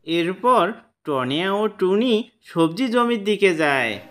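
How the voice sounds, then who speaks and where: A narrator reading a scripted children's cartoon story in a deliberate storytelling manner. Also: medium pitch, big pitch swings, a little hiss or hum.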